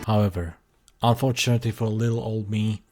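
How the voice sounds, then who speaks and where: A man's voice: fairly low pitch, fairly even intonation, fairly quick, loud music behind the voice. A man hosting a comedic scripted video essay, talking in a sarcastic, self-deprecating and theatrical way. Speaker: YouTube creator and host.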